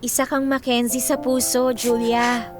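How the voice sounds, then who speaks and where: A confident, big-hearted young Filipina played by a woman in a radio drama, pitched on the high side. She is breezy and playful, slipping between Tagalog and English, with music clearly playing underneath. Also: little pitch movement, a little slow, close to an everyday voice. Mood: tender.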